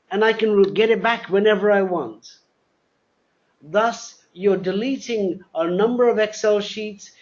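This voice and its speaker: A man presenting a live software demo, explaining step by step, often repeating words and spelling things out. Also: high voice. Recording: dull, lo-fi webinar audio.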